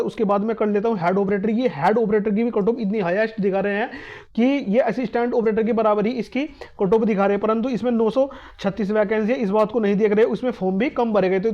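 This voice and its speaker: A man explaining exam cutoff scores to students in a fast, repetitive, emphatic talk. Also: high-pitched, highs cut off. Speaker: exam coaching YouTuber.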